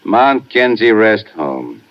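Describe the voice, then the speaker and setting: A man playing a big, calmly menacing sanitarium orderly in a 1950s radio drama, patronizing and understated. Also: lowish voice, slow, heavily acted. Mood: placid menace. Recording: muffled vintage 1950s radio recording.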